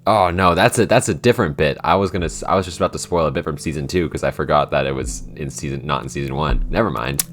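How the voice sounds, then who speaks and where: A man's voice: very deep voice, fast, hardly any pauses, a bit bright, soft background music. A man co-hosting a casual podcast Q&A, rambling and poking fun at himself. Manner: rambling, self-mocking, chuckles often. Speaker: podcast co-creator and host.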